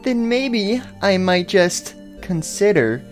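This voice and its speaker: A man voicing a tiny vengeful female doll with a squeaky voice, talking in slow hushed menace that builds to shrieking rage. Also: very animated, faint music behind, full character voice. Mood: hushed menacing.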